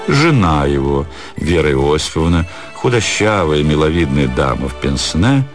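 A man narrating a literary story for a radio play, in a storyteller's expressive style. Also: very deep voice.

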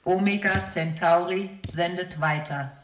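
A woman acting a space control announcer in a radio play. Her lowish voice rings with echo. She delivers everything formally, coolly and without emotion, in clipped official phrases. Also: steady tone, unhurried, slight background noise, lightly acted. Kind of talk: German radio science fiction drama announcement. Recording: echoey announcement sound.

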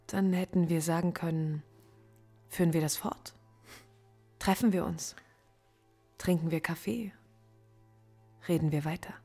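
An actress playing a cool, defiant philosophy professor under interrogation in a naturalistic radio drama, measured and dryly cutting. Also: female voice, lowish voice, steady tone, warm tone, husky, soft background music. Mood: dryly challenging.

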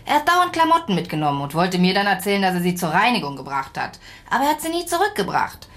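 A woman's voice: mid-range voice, sing-song, fast, a bit bright. An actress playing a weary, blunt woman talking to police in a naturalistic radio drama. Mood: weary, bewildered.

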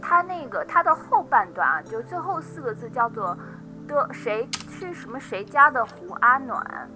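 A woman's voice: sits high, animated, normal speed, over music, poor audio. A woman on livestream staff announcing things casually, in a polite, explanatory way.

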